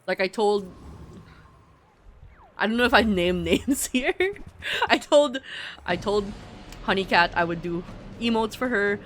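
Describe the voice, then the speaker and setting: A woman's voice: medium pitch, measured pace, long pauses, a bit bright, slight background noise. A woman with a middle-class Filipino English accent chats casually and playfully to viewers as she streams a game, often interrupting herself. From Philippines. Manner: talkative, self-interrupting, playful. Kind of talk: casual chat to viewers while gaming. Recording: music playing behind the voice. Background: game music.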